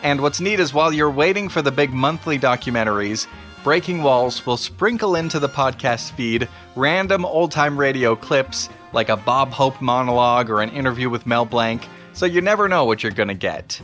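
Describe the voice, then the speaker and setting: Guy doing a podcast intro, bright clear-cut voice, bit of music behind. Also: mid-range voice, lively intonation, normal speed. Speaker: podcast host.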